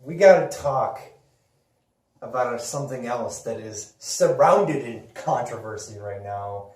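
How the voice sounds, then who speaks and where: A man's voice: medium pitch, lively intonation, measured pace, a little mellow. A man co-hosting a casual movie and gaming chat, talking in a slangy, sweary way. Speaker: podcast host. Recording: echoey room, distant mic, hissy noise.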